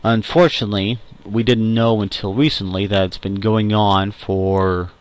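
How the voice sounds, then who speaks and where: A young adult man talking casually and unscripted in a personal audio log, hesitant and halting, pausing often and correcting himself as he goes. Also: fairly low pitch, fairly even intonation. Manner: hesitant, halting, self-correcting, with frequent pauses. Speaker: amateur audio log host.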